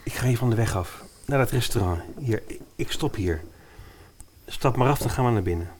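A man's voice: medium pitch, lively intonation, normal speed, darkish tone, slight background noise, lightly acted. Guy acting earnest young trainer dude in a radio play, broke biker type, medium voice. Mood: agitated.

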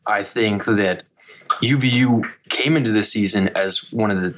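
A young man hosts a sports podcast in a relaxed, chatty way, often restarting his sentences. His voice sits a little low. A faint noise hangs under the recording. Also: a little slow. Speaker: host of a college sports podcast. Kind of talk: casual sports chat.

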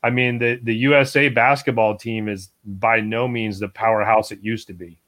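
A man's voice: fairly low pitch, fairly even intonation, normal speed, a bit bright. Male co-host, easygoing, casual slang, dry jokes delivered straight. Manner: laid-back, dry humor, says "man" and "bro". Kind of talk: casual chat.

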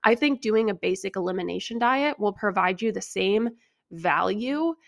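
A young American woman with noticeable vocal fry, recorded close and dry. She talks like a podcast host answering questions, casual and explanatory, and states her opinions plainly. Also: lowish voice, no echo. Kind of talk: casual informative solo podcast talk, answering listener questions.

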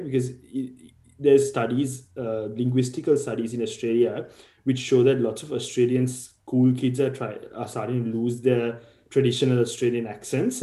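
A man's voice: mid-range voice, mellow, reverberant. A man from Sri Lanka, a podcast guest, talking through ideas thoughtfully and hedging often with "sort of". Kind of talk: casual discussion.

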